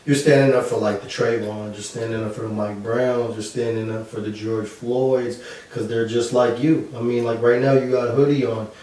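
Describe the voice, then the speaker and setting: A man's voice: medium pitch, steady tone, moderate pace, hardly any pauses, dark tone. A man interviewing a friend, talking emphatically and casually, backing up his guest. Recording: very echoey room, mic far off.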